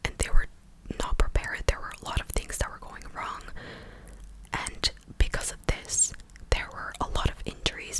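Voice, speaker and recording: A young woman doing a whispered ASMR recap, speaking close to the mic in a breathy, clicky, hushed and conversational way. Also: low-quality recording. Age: young adult. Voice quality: breathy unvoiced whisper, clicky mouth sounds. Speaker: ASMR creator. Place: small room indoors at home. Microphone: large desktop condenser mic, very close.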